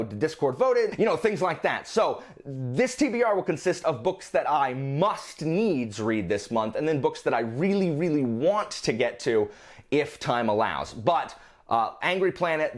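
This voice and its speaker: A man's voice: mid-range voice, very animated, unhurried. A man hosting a vlog, talking casually and informally about his reading list with playfully exasperated asides. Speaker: book vlogger, channel host.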